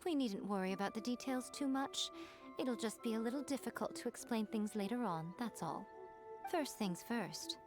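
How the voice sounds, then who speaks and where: An actress voicing a sly, poised shrine priestess with a teasing, arch manner in a video game cutscene. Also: female voice, mid-range voice, lightly acted.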